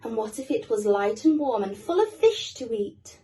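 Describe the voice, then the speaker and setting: A woman playing a gentle, patient mother penguin in a storybook, soothing and coaxing. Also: a little high, big pitch swings, moderate pace, far from the mic. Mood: tender, encouraging.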